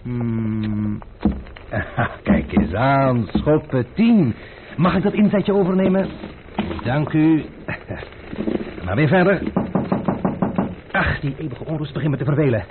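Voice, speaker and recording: An actor playing a cool, ironic Dutch gentleman gambler who teases and commands in an old radio drama. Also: medium pitch, sing-song, takes it slowly, long pauses, phone-quality sound. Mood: amused, dry. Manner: ironic, unruffled, teasing, commanding with servants. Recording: noisy, band-limited old radio play recording.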